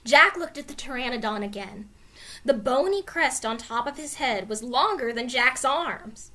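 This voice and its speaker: Casting: female storybook narrator, very lively melodic delivery, high voice, expressive and dramatized. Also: normal speed. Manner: expressive, clearly dramatized reading. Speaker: storybook reader. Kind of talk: animated children's read-aloud narration.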